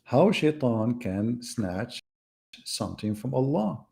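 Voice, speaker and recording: Gravelly, mocking man on a live debate. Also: mid-range voice, very animated, takes it slowly, mellow. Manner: mocking, sarcastic rhetorical questions. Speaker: debate host. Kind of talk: live online debate monologue.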